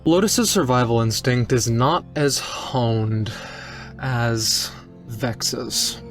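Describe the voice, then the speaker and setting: Slow-speaking man narrating a roleplaying game. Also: mid-range voice, over music. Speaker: tabletop roleplaying player. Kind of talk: narrating own character's actions.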